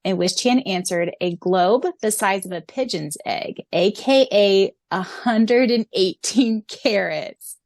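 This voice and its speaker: A gushing, excitable woman co-hosts a fan podcast, laughing often as she reads the answers. Her voice sits at a middle pitch. It is recorded close and dry. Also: slow, few pauses, dry recording. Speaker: podcast co-host. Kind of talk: casual fan podcast chat.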